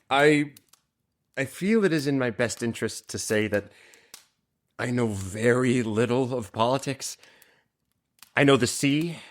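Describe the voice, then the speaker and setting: A man's voice: medium pitch, lightly acted. A man playing an earnest, innocent nineteen-year-old merchant sailor in an audio drama, polite and openly trusting.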